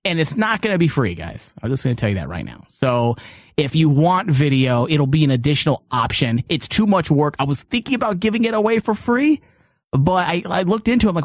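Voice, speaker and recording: A man's voice: on the high side, dry recording. A man hosting a studio podcast in a casual, chatty style with teasing banter. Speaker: podcast host.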